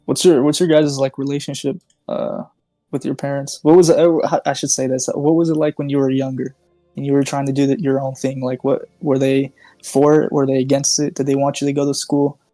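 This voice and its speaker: A man's voice: medium pitch, little pitch movement, a little fast, dark tone, no room sound, faint music behind. A man calling in to ask questions, hesitant and often answering "I see". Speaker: viewer asking questions on call. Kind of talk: casual chat.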